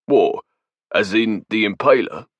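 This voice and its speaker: A man's voice: lowish voice, unhurried, dry recording, highs cut off, pitched above the performer's own voice. A man voicing a hulking male soldier with a hard, snapping Cockney accent. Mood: snappy.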